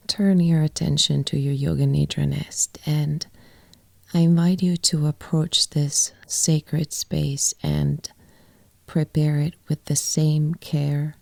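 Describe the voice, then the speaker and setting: A woman guiding a yoga nidra meditation in a soft, breathy, often near-whispered voice, with gentle phrases and long pauses. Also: low voice, no room sound. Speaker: yoga nidra teacher and guide. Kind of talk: guided meditation, soft and soothing.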